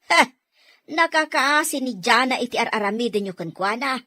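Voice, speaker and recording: Righteous aunt, earnest moralizer, animated highish voice, Ilocano Filipino radio melodrama. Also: female voice, dry recording, heavily acted. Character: righteous aunt, reproving younger sister of the father. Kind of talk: radio drama acting.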